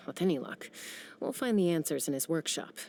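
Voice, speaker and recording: Calm, practical adventurer. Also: female voice, low-pitched, moderate pace, vocal fry, slight background noise. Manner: calm, matter-of-fact. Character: steady young female adventurer, practical and brisk. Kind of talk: video game dialogue.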